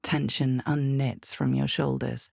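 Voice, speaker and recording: A woman performing as an ominous storyteller in a dark fantasy game, narrating in a deliberate, suspenseful, theatrical way with breathy drops toward a whisper. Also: a bass voice, flat delivery, no room sound, studio quality, exaggerated voice. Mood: hushed, wry. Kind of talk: dramatic second-person fantasy game narration.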